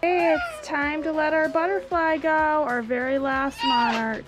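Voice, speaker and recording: A woman's voice: sits high, lively intonation, measured pace. A woman in her thirties with an American accent, chatting casually and cheerfully to a family vlog camera with gushing exclamations. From United States. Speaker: family vlogger and mother. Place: handheld family camera in car, house and yard. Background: background music.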